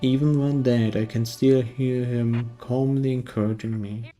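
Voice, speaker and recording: A man's voice: medium pitch, steady tone, unhurried, dark tone, faint music behind. A man narrating a scripted video game review in a dry, sardonic manner.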